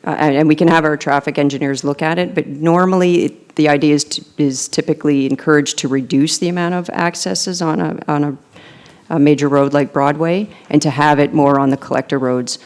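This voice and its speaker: A woman with a Canadian accent, a planning consultant presenting a proposal at a council meeting in a measured way with many fillers. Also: deep voice, steady tone, a little mellow. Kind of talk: formal presentation and answers.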